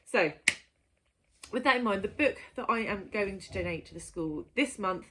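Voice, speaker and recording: A woman's voice: mid-range voice, animated, normal speed, noisy background. A woman in her thirties chatting casually to camera as a book vlogger, in a giggly, self-mocking manner.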